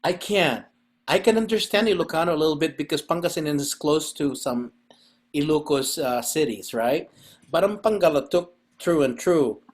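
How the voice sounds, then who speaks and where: A man's voice: a little high, moderate pace. A man, hosting a podcast in a rambling, chatty way and laughing often. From Philippines. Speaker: podcast host and festival organizer. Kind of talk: casual chat.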